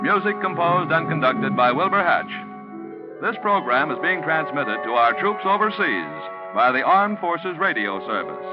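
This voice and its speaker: A man reading closing credits and sponsor lines as a formal 1940s radio announcer. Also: on the high side. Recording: dull 1940s radio recording.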